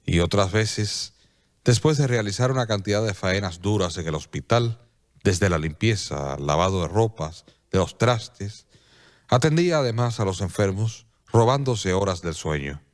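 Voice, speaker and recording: A man narrating a scripted radio drama as a measured, solemn storyteller. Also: fairly low pitch, lively intonation.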